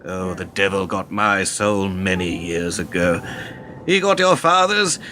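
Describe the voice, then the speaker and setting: A man's voice: sits low, animated, a little slow, pushed and bright, a little hiss or hum, full character voice. A man acting in a radio play as a smug, cynical older political fixer who talks in a pompous, wordy, condescending way.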